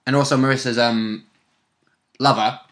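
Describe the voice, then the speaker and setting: A man's voice: medium pitch, steady tone, moderate pace, fairly bright tone. A man co-hosting as a player, chatting casually about a tabletop role-play game. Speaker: player and co-host.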